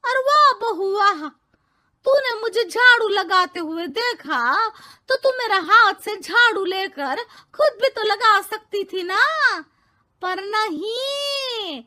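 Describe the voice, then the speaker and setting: A woman voicing a dramatic, nagging old village mother-in-law, in an animated story. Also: very high-pitched, takes it slowly, heavily acted. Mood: sarcastic, scolding. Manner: scolding, wailing, exaggerated. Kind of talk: animated moral story dialogue.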